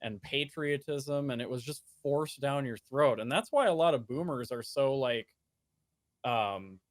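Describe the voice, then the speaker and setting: A man's voice: medium pitch, a little slow, no echo. A man in his late twenties from the United States, talking earnestly in a casual conversation as a streamer guest, with many restarts and fillers. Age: 20s.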